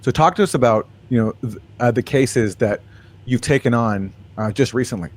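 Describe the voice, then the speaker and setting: A man's voice: mid-range voice, normal speed. A man hosting a political talk show, introducing a guest and asking pointed questions in a conversational way. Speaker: show host. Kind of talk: political commentary interview.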